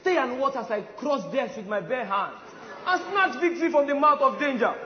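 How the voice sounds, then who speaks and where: A man's voice: extremely high pitch, expressive, moderate pace, a faint crowd. A man playing a boastful, self-important warrior commander in a comic stage play, declaiming pompously. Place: stage in a large hall with audience. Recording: echoey hall, muffled, noisy audience.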